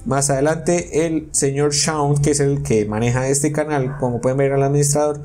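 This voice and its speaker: Indignant man, mellow voice. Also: fairly high pitch, steady tone, faint music behind. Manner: indignant, earnest, explains slowly while reading messages aloud. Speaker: YouTuber warning about an investment scam. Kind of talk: casual screen-recording commentary. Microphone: voice-over on a screen recording.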